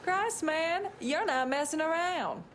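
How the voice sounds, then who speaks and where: An actress playing a tough, sharp-tongued, candid woman in a crime video game. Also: high voice, animated, takes it slowly, slight background noise, exaggerated voice. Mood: wry. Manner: frank, wry, swearing.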